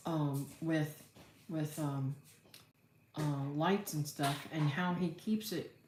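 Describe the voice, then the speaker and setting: In a roomy, echoing space, a middle-aged woman with an American accent hosts a casual livestream. Her voice sits low and she talks slowly. She rambles in a folksy way, thinking aloud as she replies to comments. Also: steady tone, a little mellow. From Florida, United States. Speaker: livestream host, homesteader. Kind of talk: casual livestream chat, reading and answering comments. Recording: roomy echo, faint background noise.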